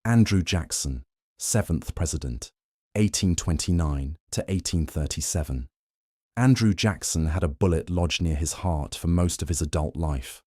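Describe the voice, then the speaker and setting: A man reading a scripted history narration in a slow, measured, soothing way meant for falling asleep. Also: low voice, warm tone, husky, dry recording, studio quality. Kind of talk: calm scripted bedtime narration.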